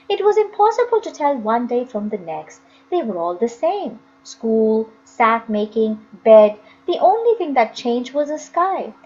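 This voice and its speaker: A woman's voice: a little high, muffled recording. A woman narrating a children's novel expressively, as an audiobook reader.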